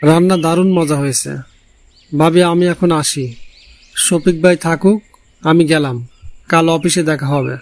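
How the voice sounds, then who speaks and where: A man's voice: on the high side, fairly even intonation, normal speed, long pauses, no room sound, close to an everyday voice. A man voicing a polite, reluctant guest in a cartoon story, speaking in a demurring way. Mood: politely evasive. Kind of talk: Bengali cartoon fairy-tale voice acting.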